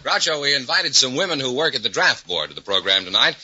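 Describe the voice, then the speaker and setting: A man's voice: mid-range voice, sing-song, quick pace, loud music behind the voice. An American man announcing a 1950s radio show, heard on a muffled and very noisy vintage recording.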